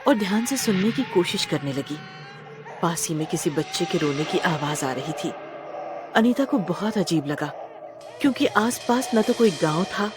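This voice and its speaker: A woman reading a scripted horror story as storyteller, with dramatic, suspenseful delivery. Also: fairly low pitch. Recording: loud music bed behind narration.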